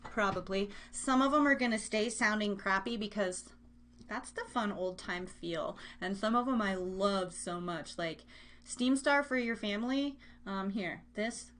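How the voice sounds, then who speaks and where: A woman's voice: mid-range voice, unhurried, fairly bright tone. A woman hosting a live online radio show, chatting casually with listeners in a giggly, rambling, playful way, often repeating phrases.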